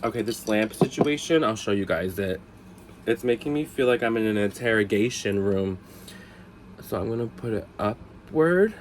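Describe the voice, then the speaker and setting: A man with a lowish voice, a little stuffed up from a cold, talks his way through a casual storytime at home. He rambles, drifts off topic and teases himself along the way. A faint hiss sits under the voice. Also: lively intonation, normal speed. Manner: chatty, rambling, self-mocking asides. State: congested from a cold. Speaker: vlog host.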